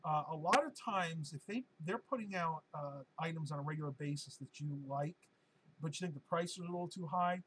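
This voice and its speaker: Male stream host, slightly high and hoarse, casual and rambling, light background noise. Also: brisk, a bit bright, poor audio. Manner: chatty and rambling, with many ums and uhs. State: hoarse, losing his voice. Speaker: live-stream host answering viewer questions.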